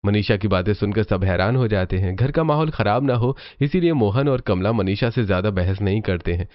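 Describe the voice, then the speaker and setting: Male storybook narrator for cartoons, lower register, slightly dull studio sound. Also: expressive, brisk, no room sound.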